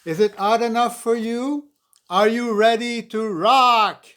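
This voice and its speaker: A man voicing a boisterous rock club MC, shouting with drawn-out words and rolled Rs in a memoir reading. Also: very high voice, pitched above the performer's own voice, full character voice. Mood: rousing.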